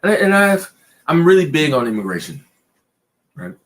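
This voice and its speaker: A man co-hosting a casual late-night chat show, talking in a loose, rambling way and pushing his pitch up and down for emphasis. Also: big pitch swings, normal speed, a bit bright. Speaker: podcast co-host.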